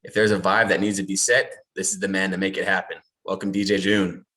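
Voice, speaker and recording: A man's voice: low voice, little pitch movement, a little fast, sharp tone, rough recording. A man reading a scripted podcast intro in an upbeat announcing tone. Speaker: show announcer.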